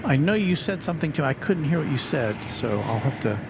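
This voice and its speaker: Male host, raised pitch, chatty commentary over loud outdoor airport noise. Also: sing-song, a little fast, creaky. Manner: chatty, reads viewer comments aloud. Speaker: livestream host reading chat. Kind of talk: casual live commentary. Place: outdoors near an airport runway. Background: aircraft and traffic noise.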